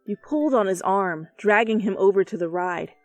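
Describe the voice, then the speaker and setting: A woman's voice: mid-range voice, normal speed, no room sound. Woman narrating fan fiction, close and dry. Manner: expressive, theatrical. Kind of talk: dramatic storybook-like narration. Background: background music.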